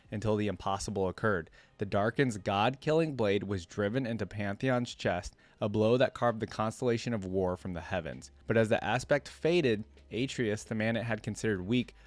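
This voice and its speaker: A man's voice: on the low side, dry recording. Man reacting casually in a dry, close recording. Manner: informal, often checks in with tags like "right?" and "yeah?". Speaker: host of a game lore reaction video. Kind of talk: casual reaction commentary, reading text aloud and remarking on it.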